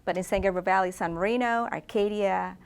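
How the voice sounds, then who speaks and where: A woman's voice: mid-range voice, a little fast, vocal fry, clear, resonant tone. A middle-aged woman from Indonesia, a real estate agent answering interview questions in a hesitant way, full of fillers and restarts.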